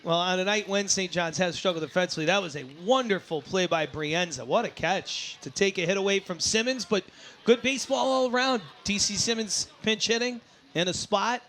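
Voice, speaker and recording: A man doing live baseball play-by-play commentary as the announcer, who gets excitable and shouts on big plays. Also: sits high, fairly quick, a little hiss or hum. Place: sports broadcast at a baseball game.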